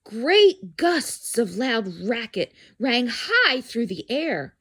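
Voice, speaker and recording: A woman's voice: a little high, very animated, takes it slowly, a bit bright, husky. A woman reading a children's story aloud expressively, dramatic in delivery and addressing young listeners.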